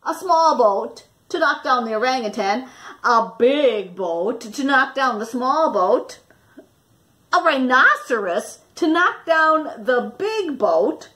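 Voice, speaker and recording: A woman reading a children's picture book aloud expressively, with dramatic pauses and questions for young listeners, like a teacher. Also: sits high, sing-song, a bit bright.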